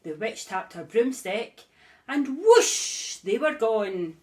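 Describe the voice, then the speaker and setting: Slow, expressive woman reading aloud. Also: a little high. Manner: rhythmic, expressive read-aloud. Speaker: storybook reader. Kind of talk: reading a picture book aloud.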